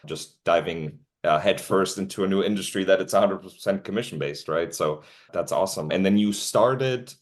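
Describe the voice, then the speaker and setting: Deep-voiced male podcast host. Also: moderate pace, few pauses. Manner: friendly, encouraging interviewer. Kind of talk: podcast interview.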